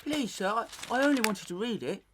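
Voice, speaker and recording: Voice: mid-range voice, expressive, brisk, a bit bright, slight background noise, lightly acted. A performer playing a simple, earnest young schoolboy in a Victorian melodrama, deferential and hesitant, with rustic grammar. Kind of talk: Victorian melodrama audio acting.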